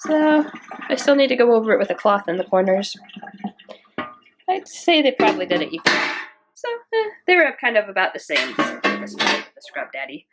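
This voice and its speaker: A woman's voice: medium pitch, sing-song, normal speed, music in the background, rough recording. A woman hosting a casual cleaning vlog from her home kitchen, chatty and rambling with restarts, her voice stuffy from a cold. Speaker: cleaning video host. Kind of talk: casual product test vlog.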